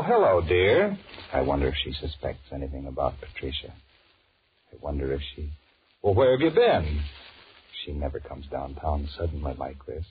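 An actor playing a weary, sullen two-timing American office man in a 1940s radio drama, musing in a deadpan mutter. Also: extremely low pitch. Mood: falsely cheerful, then brooding, furtive. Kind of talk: 1940s radio drama acting, inner monologue. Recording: muffled, noisy 1940s radio recording.

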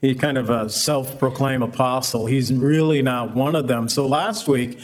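A man's voice: medium pitch, fairly even intonation, moderate pace. A man, a pastor, teaching the Bible verse by verse in a conversational preaching manner, acting out quoted voices as he explains.

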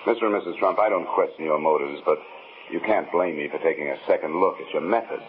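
An actor in a 1950s radio drama playing a hard-boiled, sardonic insurance investigator with a dry, world-weary manner. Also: male voice, deep voice, fast talker, phone-quality sound, natural voice. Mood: reasoning. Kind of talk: 1950s radio drama acting and noir narration. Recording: thin, band-limited old radio broadcast.

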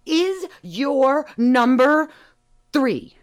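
An actress playing a cheeky, evasive burglary suspect in an audio drama, theatrical and teasing, swinging from meek to emphatic. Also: female voice, sits high, sing-song, extremely slow, full character voice. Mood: sly, confident. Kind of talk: audio drama dialogue acting.

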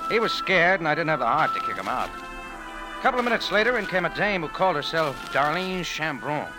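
An actor playing a tough, wry American bar owner in a 1940s radio drama, narrating in clipped slang. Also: male voice, medium pitch, lightly acted, poor audio. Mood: wry recounting. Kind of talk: 1940s radio drama, hard-boiled first-person narration. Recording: vintage radio recording with loud organ music.

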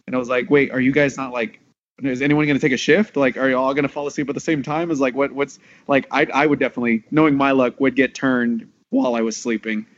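Guy with a mid-pitched voice, laid back rambly chat, bit muffled. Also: fairly even intonation, fast. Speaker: voice actor on a panel. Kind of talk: casual chat. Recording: dull lo-fi audio.

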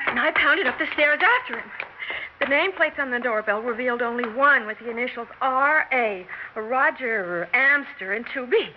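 An actress playing a determined, excitable young would-be star in a 1960s radio drama, dramatic and insistent in her telling. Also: on the high side, moderate pace, noisy background, natural voice. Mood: earnest recounting. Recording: dull old radio broadcast, music behind.

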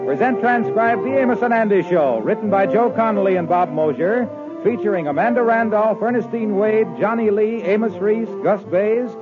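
A man's voice: high-pitched, normal speed. A man announcing and narrating a scripted 1950s radio show in a formal, emphatic manner. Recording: muffled old radio broadcast. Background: loud music.

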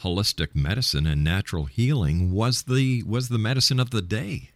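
A man's voice: on the low side, normal speed, dry recording. A man hosting a radio show, interviewing and announcing to listeners.

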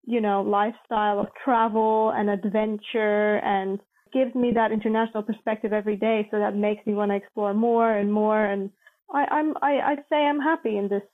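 A woman's voice: medium pitch, fairly even intonation, normal speed. Woman from Latvia on a tinny line. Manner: chatty, thinks aloud with small restarts. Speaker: guest. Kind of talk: casual interview. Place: home in lockdown. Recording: tinny phone-like call line.

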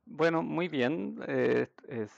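A man with a Chilean Spanish accent, chatting as a podcast guest in a relaxed, hesitant storytelling way. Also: fairly high pitch, slow, creaky, dry recording. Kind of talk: casual interview chat. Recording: dull, dry audio.

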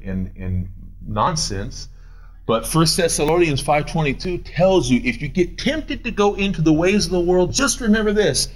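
Pastor, 40s, male: high, swooping delivery, paces the pulpit, argues with imagined doubters. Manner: animated, swooping pitch, paces while preaching; answers his own imagined questions. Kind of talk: sermon.

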